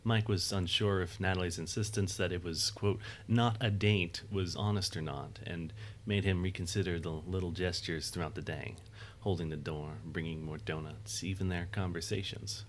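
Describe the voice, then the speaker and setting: A man's voice: low voice, steady tone, normal speed, few pauses, slight background noise. A man reading scripted narration for an audio drama.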